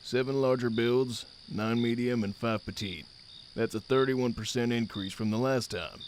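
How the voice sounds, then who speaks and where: A man's voice: medium pitch, moderate pace, a little hiss or hum, natural voice. A man playing an easygoing, slightly clueless dockworker in an audio drama, speaking in a casual, plain way. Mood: casual, matter-of-fact. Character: easygoing male dockworker, loyal but a bit clueless. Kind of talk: scripted audio drama acting.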